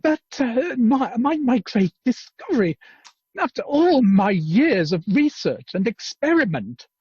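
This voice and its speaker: An earnest gentleman inventor, played by a man in a radio play. He is hesitant and pedantic, his husky voice rising and falling a lot. The audio is dull and dry. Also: very high-pitched, sing-song, unhurried, no echo, heavily acted. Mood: flustered, dismayed. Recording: dull, lo-fi video call audio.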